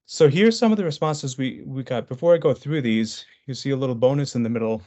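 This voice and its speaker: A man's voice: medium pitch, a little fast, warm tone. A man presenting a business webinar over a video call. He talks conversationally through his slides, with frequent fillers and restarts.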